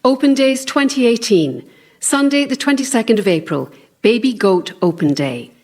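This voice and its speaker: A woman's voice: a little high, big pitch swings, moderate pace, darkish tone. A woman reading scripted announcements formally as a radio announcer. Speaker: announcer and reader.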